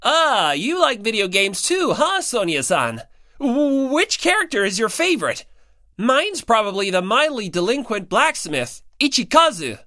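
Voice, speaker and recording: Excitable young mechanic lad voiced by a woman, eager, lively swooping pitch, dry close recording. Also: unhurried, no echo, full character voice. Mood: eager, smitten.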